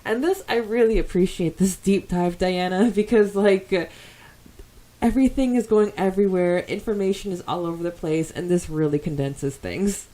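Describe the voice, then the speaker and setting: A woman co-hosting a podcast, chatting casually and enthusiastically as she reads out and reacts to listener comments, quick to giggle. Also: fairly low pitch, warm tone, rough recording. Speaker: podcast co-host. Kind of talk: casual podcast talk, reading and discussing fan comments.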